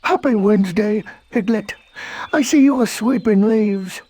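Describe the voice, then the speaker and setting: A man voicing a gentle, naive, friendly cartoon bear in a mild, earnest way. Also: sits high, unhurried. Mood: cheerful, gentle.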